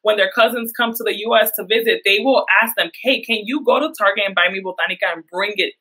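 Bright, breathy young woman entrepreneur. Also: mid-range voice, quick pace, poor audio. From Dominican Republic. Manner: earnest, uses many fillers. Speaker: guest, entrepreneur. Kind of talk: video call interview. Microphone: video call.

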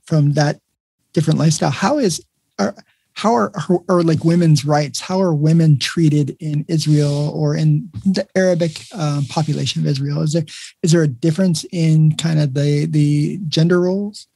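A man hosts a podcast with a gravelly, husky voice that is dark in tone. His questions are easygoing, and he drops short affirmations as the guest talks. The sound is dry and close. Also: on the high side, steady tone, moderate pace, no echo. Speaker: podcast host. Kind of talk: casual interview chat.

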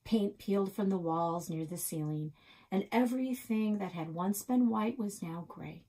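Storyteller: middle-aged woman, husky medium-pitched voice, reading a children's novel aloud indoors. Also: moderate pace, dark tone, low-quality recording. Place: small office, indoors.

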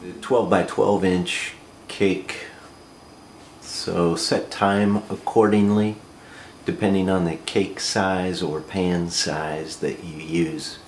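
A man in his thirties with a North American accent, giving a casual step-by-step cooking demonstration in a plain, halting way. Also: deep voice, level tone. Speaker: amateur cooking video host. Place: home kitchen, indoors. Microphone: camera microphone, a short distance away. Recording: roomy camcorder sound with clear noise.

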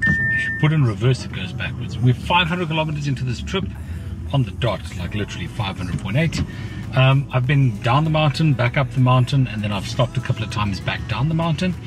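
A man's voice: medium pitch, expressive, fairly quick, recorded from a distance, music in the background, rough recording. A man chatting casually about a road trip from inside a moving car, rambling with lots of fillers. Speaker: car reviewer. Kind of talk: casual vlog narration while driving.

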